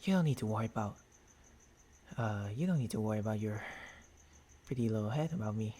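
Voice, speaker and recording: A man voicing a gentlemanly, tender vampire boyfriend in an intimate ASMR roleplay, speaking haltingly into a close binaural microphone with formal endearments and a husky, breathy voice. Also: fairly low pitch, expressive. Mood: reassuring.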